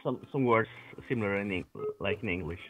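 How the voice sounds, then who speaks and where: A man from Peru with a Peruvian Spanish accent and Spanish as his first language, calling in to a show, speaking hesitantly and softly over a phone line. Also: on the low side. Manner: hesitant, soft-spoken, halting. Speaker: caller. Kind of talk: call-in chat.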